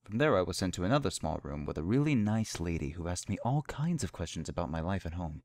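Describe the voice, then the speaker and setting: A man giving a dramatic spoken-word poetry reading in an impassioned, theatrical and deliberate way. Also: low voice, sing-song, darkish tone, dry recording, studio quality. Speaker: poetry performer. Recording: musical score under the voice. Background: music.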